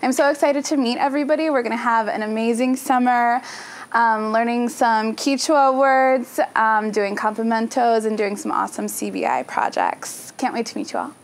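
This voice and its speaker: Cheerful young woman, higher voice. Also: normal speed. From Chicago. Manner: cheerful, upbeat. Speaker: project director. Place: outdoors beside a house. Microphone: lapel mic.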